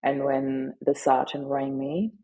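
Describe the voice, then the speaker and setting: A middle-aged woman whose first language is German, sharing her story earnestly and haltingly in an interview. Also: extremely low pitch, level tone, dark tone, highs cut off. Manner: earnest, halting, with frequent fillers. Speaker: guest, grief advocate and author.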